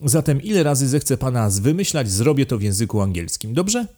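A man voicing an excitable, contemptuous, deranged aristocrat count in an audiobook, imperious and given to emphatic outbursts. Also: mid-range voice, a little fast, voice pushed up. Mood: imperious, capricious. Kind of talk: dramatized audiobook reading.

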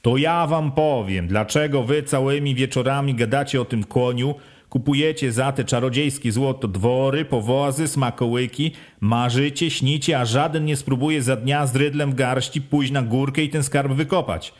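Man reading as a sharp, pushy village head, raised voice, insistent questions, rising pitch. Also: normal speed, close to an everyday voice. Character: forceful village official, a middle-aged man, pressing and sly.

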